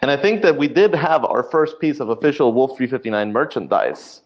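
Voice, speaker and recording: A man's voice: medium pitch, lively intonation, a little fast, highs cut off. A man speaking casually as an interview guest, explaining and elaborating as he tells stories. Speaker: podcast creator, interview guest. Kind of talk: casual interview chat, storytelling.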